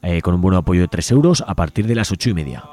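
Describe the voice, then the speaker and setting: A man's voice: sits low, expressive, very fast. A man with an accent from Spain, hosting a community radio show and reading out event listings. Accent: Spanish from Spain.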